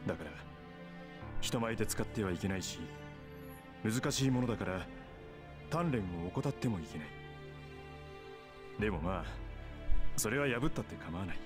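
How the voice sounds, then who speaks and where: Actor as low-voiced calm mentor father over music. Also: quick pace, long pauses, close to an everyday voice. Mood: gentle instruction. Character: calm adoptive father, a mage mentoring a boy. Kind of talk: Japanese visual novel voice acting.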